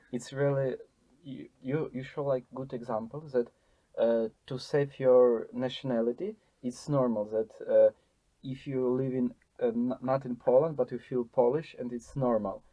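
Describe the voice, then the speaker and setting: A man's voice: medium pitch, fairly even intonation, normal speed, no echo, muffled recording. A young man with a Ukrainian accent, hosting a casual interview in English and speaking hesitantly as he searches for words. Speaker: podcast host.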